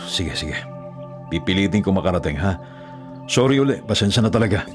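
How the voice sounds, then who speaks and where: A man's voice: deep voice, animated, a little fast. An actor with a Filipino accent playing a tired, devoted young working man in a radio drama, earnest, reassuring and apologetic. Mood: weary, apologetic. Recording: music under the voice.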